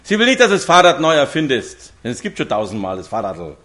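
Animated preacher man in his fifties. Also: mid-range voice, fast talker. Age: 50s. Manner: lively, storytelling, direct questions to listeners. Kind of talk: sermon to a congregation.

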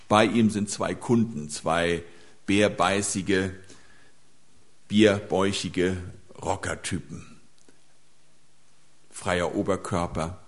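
Slow, low-voiced man preaching. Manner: storytelling with anecdotes and hesitations. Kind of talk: sermon.

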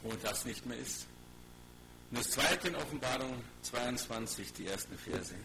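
A man's voice: medium pitch, moderate pace. A middle-aged man preaching a sermon in a colloquial, exhorting way, putting rhetorical questions to his listeners and reading scripture. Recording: clearly noisy recording with hum.

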